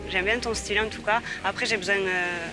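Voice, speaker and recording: Young woman, fast and candid in casual chat. Music bed behind her. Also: mid-range voice, fairly even intonation. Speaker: reality show dater. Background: background music.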